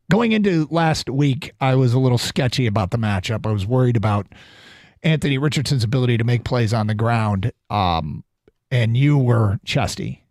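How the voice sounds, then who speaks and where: A male sports radio host chatting casually and taking calls. His mid-pitched voice sounds close and dry on a studio microphone. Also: lively intonation, moderate pace, darkish tone, no echo. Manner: conversational, takes calls.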